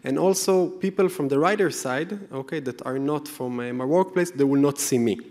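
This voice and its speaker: A man with an Israeli accent explains things step by step to a live audience, pausing on "uh" and checking in with "okay?". His pitch is ordinary. Long reverb from a big hall surrounds his voice. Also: darkish tone. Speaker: product manager.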